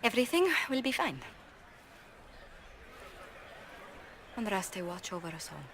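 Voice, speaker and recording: An actress playing a grave, anxious diplomat, formal and precise, in a fantasy game cutscene. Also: female voice, mid-range voice, sing-song, fast, noisy background. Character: grave, anxious female diplomat advising a heroine. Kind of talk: video game cutscene acting.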